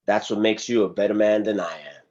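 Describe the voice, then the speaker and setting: Muffled call audio, man acting as solemn prison guard, low husky voice, preachy, deliberate. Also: a little mellow, close to an everyday voice. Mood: admiring. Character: stern, philosophical male prison guard, grudgingly admiring. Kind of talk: script table read. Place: video call.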